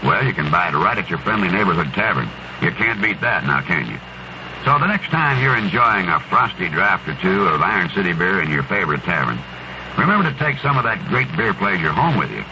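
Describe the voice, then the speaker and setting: Man, low pitch, very animated intonation, folksy chummy pitchman, noisy vintage radio commercial. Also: fairly quick. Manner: chummy, addressing the listener directly. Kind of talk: folksy radio beer advertisement. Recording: dull noisy 1970s radio broadcast.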